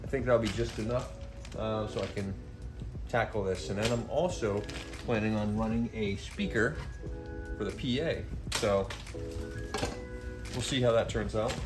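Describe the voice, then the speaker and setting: A man's voice: lowish voice, measured pace, long pauses, over music. A man talking informally as the host of a DIY vlog, thinking aloud as he explains his work. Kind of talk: casual talk while working, explaining to viewers.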